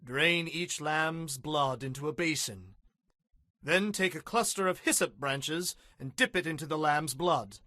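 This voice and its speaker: A man's voice: fairly high pitch, unhurried, sharp tone, no room sound. An actor playing an earnest, commanding male leader of an ancient people, giving solemn, emphatic instructions in a dramatized scripture reading. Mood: solemn, instructing.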